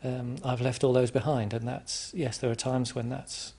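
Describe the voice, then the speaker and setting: A man from England answering interview questions in a hesitant, reflective way, with frequent restarts and pauses. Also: mid-range voice, little pitch movement, darkish tone, slightly rough. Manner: hesitant, many restarts and ums. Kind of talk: conversational interview.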